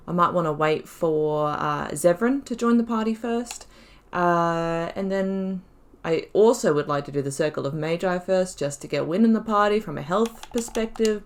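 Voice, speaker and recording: A woman hosts a video game playthrough in a slightly low voice. She is chatty and casual, thinking aloud. Her delivery has a giggly streak. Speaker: gaming YouTuber, host of a playthrough. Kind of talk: casual gameplay commentary.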